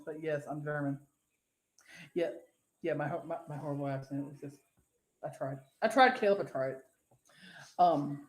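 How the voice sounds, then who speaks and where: A woman's voice: low-pitched, a little mellow. Rambling, cussing woman host in an echoey room. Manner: rambling, profane, laughs easily. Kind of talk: casual live-show chat, reading viewer comments.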